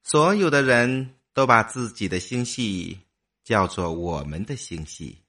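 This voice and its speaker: A man's voice: lowish voice, expressive, measured pace, sharp tone, distant mic, exaggerated voice. Guy doing an inquisitive immortal mind for a radio play, very up and down, kinda off mic. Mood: curious. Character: immortal human mind, inquisitive and matter-of-fact. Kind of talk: audio drama dialogue.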